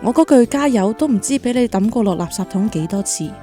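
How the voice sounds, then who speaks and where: An actress playing an earnest, easily flustered young woman in a radio drama, girlish and expressive, with a Hong Kong Cantonese accent. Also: a little high, brisk, soft background music, lightly acted. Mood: tender, reflective. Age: young adult. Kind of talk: radio drama acting with inner monologue.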